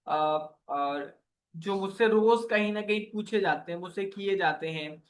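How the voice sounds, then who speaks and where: A man's voice: high voice, unhurried. A man leading a motivational workshop on earning online, talking earnestly like a preacher and often checking in with "okay". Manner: voices the listeners' questions and prayers.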